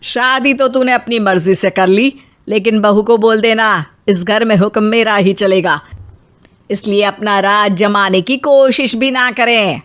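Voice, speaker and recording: A woman voicing a quarrelsome, taunting middle-aged Indian mother-in-law in an animated family drama. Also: medium pitch, measured pace, natural voice. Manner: taunting, melodramatic complaining.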